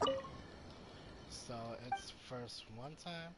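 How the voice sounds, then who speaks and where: Slow-talking woman game streamer. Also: sits low, heavy background noise. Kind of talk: casual gameplay commentary. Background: loud game music.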